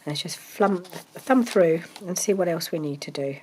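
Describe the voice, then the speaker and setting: A woman's voice: on the low side, animated, a little slow, darkish tone, slight background noise. A woman, chatting casually about her crafting project, congested from a cold and rambling with many ums. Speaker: craft vlogger.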